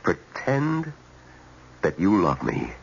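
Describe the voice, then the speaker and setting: Troubled low-voiced straying husband. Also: male voice, wildly swooping pitch, takes it slowly, gravelly, exaggerated voice. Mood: grave, resolved. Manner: hesitant, troubled. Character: troubled, indecisive married man having an affair. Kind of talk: old-time radio drama acting. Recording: dull, slightly noisy old radio recording.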